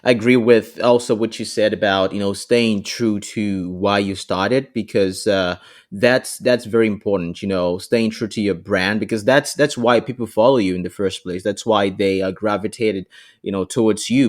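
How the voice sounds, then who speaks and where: Male podcast host, somewhat low voice; supportive, filler-heavy questioning. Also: fairly even intonation, normal speed. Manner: encouraging, leans on "you know" as filler. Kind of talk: casual interview chat.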